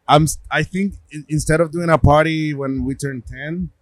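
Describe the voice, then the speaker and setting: A man's voice: on the high side, fairly even intonation, unhurried, no echo. A middle-aged man with a Puerto Rican accent, a gallery owner and artist talking casually in an interview, rambling with restarts. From Puerto Rico. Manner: rambling, many restarts and fillers.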